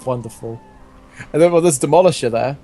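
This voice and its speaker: Higher-voiced man reading comedy aloud. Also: normal speed, warm tone. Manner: jokey, often breaks off from reading to riff. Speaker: host reading fan fiction aloud while gaming. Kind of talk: casual comic read-aloud with commentary.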